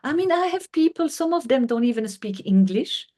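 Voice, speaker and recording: A middle-aged woman from France, speaking English with a French accent as an interview guest, earnest and unhurried with frequent fillers. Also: high-pitched, sing-song, dark tone. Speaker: guest, healer. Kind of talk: conversational interview.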